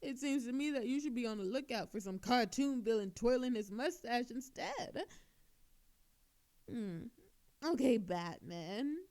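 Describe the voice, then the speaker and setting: A woman's voice: a little high, lively intonation, a little slow, a little mellow. A woman playing an obnoxiously flirtatious, cocky thief in a teasing, theatrical audio roleplay with a sultry drawl. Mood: mock innocent.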